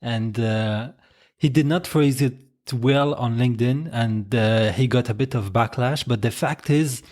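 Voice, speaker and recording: A man's voice: medium pitch, a little slow, a little mellow. Male podcast host, polite and respectful, rambling questions, mid-range voice. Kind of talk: interview host.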